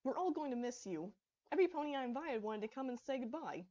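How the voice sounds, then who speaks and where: A woman's voice: high-pitched, lively intonation, fast talker, warm tone, highs cut off, voice pushed up. A woman voicing a helpful, organized female pony hostess in a story reading. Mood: cheerful, hosting. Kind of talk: fan fiction story reading.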